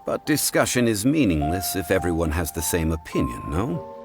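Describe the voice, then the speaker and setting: An actor playing a calm, learned male consultant in a game cutscene, deep and courteous, correcting others in an unhurried, polite way. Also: over music. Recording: game cutscene with faint score.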